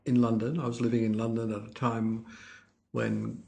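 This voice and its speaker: Gravelly older man, halting. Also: mid-range voice, lively intonation, darkish tone. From Italy, raised in Australia. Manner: hesitant, halting, restarts sentences often. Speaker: guest, winemaker. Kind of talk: conversational interview.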